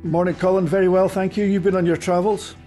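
A man's voice: high-pitched, flat delivery, fast talker, dark tone, soft background music. A Scottish man, a former team boss, chatting animatedly with many stammering restarts. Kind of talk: casual chat.

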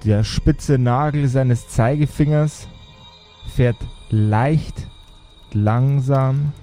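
A man narrating an improvised tabletop game as game master, in measured, suspenseful phrases. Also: mid-range voice, mellow. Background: background music.

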